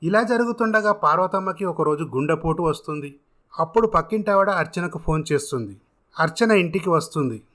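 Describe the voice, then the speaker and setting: A man's voice: a little high, expressive, fairly quick, a bit bright. A man narrating a scripted dramatic story as a storyteller.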